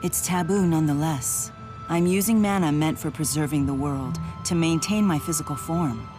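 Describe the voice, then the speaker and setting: Woman acting a low, solemn noble spirit woman. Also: over music, close to an everyday voice. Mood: frank. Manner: formal, resolute, measured. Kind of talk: video game voice acting.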